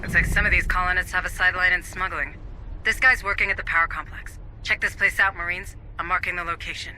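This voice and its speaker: Serious woman official over rumbling ambience. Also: fairly even intonation, quick pace, sharp tone, a little hiss or hum, low-quality recording. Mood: grave, businesslike. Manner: articulate, serious, insistent. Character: earnest, principled female colonial deputy administrator. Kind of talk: naturalistic video game acting.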